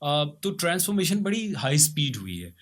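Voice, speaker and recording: A man's voice: a little high, sing-song, normal speed. A middle-aged man with a Pakistani accent, reflecting on his experiences as an interview guest and often mixing in English phrases. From Lahore, Pakistan. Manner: mixes English phrases into speech. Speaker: podcast guest, HR consultant and facilitator. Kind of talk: conversational interview, reflective storytelling.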